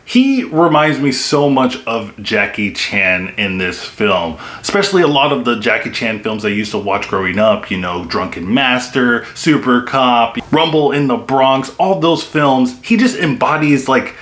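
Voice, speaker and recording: A man's voice: medium pitch, animated, unhurried, few pauses, a bit bright. A young man giving a casual, enthusiastic film review, with lots of "like" and "you know" fillers. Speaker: movie reviewer. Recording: echoey room.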